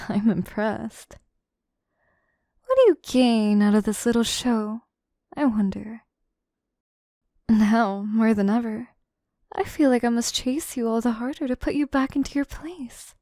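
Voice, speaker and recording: A woman's voice: a little high, mellow, dry recording, clean studio sound. A woman playing an obsessive, scheming yandere assistant in an audio roleplay, speaking in formal, theatrical phrases to the listener. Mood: menacing, infatuated. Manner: theatrical, formal phrasing, slow and deliberate, dropping into whispers. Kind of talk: scripted audio roleplay, intimate monologue to listener.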